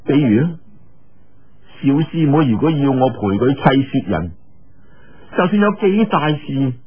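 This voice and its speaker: A man's voice: medium pitch, big pitch swings, unhurried, slightly rough, phone-quality sound. A man voicing the inner thoughts of a lovelorn, anxious young swordsman in an audiobook, self-mocking and full of sighs and exclamations. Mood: wistful. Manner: sighing, exclaiming.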